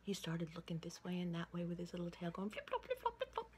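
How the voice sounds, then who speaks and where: Animated older woman telling a children's story. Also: fairly low pitch, fast talker. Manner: expressive, playful, with repeated sing-song phrases. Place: indoors, room with wooden ceiling. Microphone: phone at arm's length. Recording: noisy home recording with room ambience.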